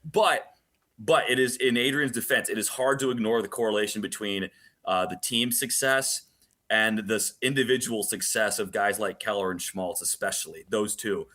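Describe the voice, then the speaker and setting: A man's voice: lowish voice, moderate pace, sharp tone. A man speaking as a guest broadcaster in conversational sports analysis, with wry, joking asides.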